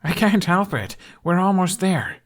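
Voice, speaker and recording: A man's voice: sits high, lively intonation, a little slow, voice pushed up. A man voicing an eager, anxious boy adventurer in an audiobook, speaking with earnest urgency. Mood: excited. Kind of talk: audiobook character acting.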